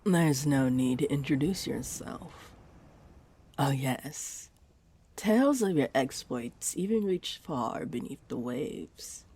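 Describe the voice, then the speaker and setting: A woman's voice: extremely low pitch, expressive, mellow, breathy edge, heavily acted. A woman playing a flirty, scheming mermaid in a roleplay audio drama, teasing and coaxing her listener. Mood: playful, teasing, then admiring. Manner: teasing, coaxing, slow and deliberate. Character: flirty, scheming female mermaid, sweetly tempting a pirate.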